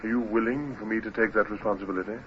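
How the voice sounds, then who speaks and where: An actor playing a cautious, evasive ship's surgeon in an old radio drama, speaking in a guarded, deliberate manner. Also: male voice, fairly low pitch, fairly even intonation, a bit bright, close to an everyday voice. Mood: wary. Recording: dull, noisy old radio recording.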